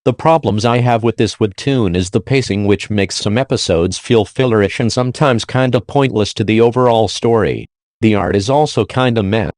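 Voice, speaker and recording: A man with a fairly low voice narrates a scripted countdown review. The sound is dry and close, and his wording is informal and peppered with slang, as a video host's would be. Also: a little mellow, no echo.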